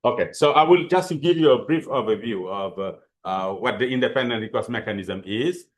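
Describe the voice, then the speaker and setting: A man from Senegal with a French accent, giving a hesitant, halting webinar presentation over a video call, with many fillers and restarts. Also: medium pitch, lively intonation. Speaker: panelist.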